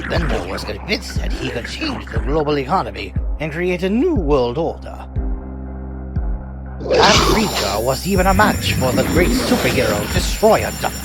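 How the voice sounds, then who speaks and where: An excitable old sidekick telling urgent, dramatic tales in a cartoon, played by a man. His fairly high voice swoops widely. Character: excitable old male former superhero's sidekick, insistent storyteller. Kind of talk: acted comic dub dialogue. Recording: music behind the voice.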